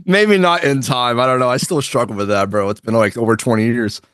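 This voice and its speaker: A man's voice: medium pitch, brisk, a bit bright, no room sound, clean studio sound. A man in his thirties, a guest drummer on a podcast, talking in a laid-back, slangy way and laughing often. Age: 30s. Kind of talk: casual podcast chat.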